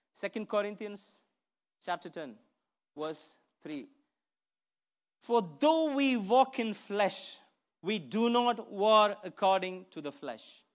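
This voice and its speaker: A middle-aged man preaching a church sermon into a handheld microphone, emphatic and repeating phrases to drive them home. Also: high voice. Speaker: pastor preaching. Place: church stage, indoors.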